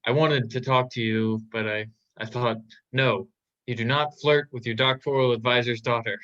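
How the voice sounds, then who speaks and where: A young man playing an earnest, shy graduate student in a stage drama, speaking in a hesitant, halting way. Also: mid-range voice, fairly even intonation, fairly bright tone, lo-fi sound, lightly acted. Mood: nervous, tender. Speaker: student actor. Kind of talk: naturalistic stage drama acting.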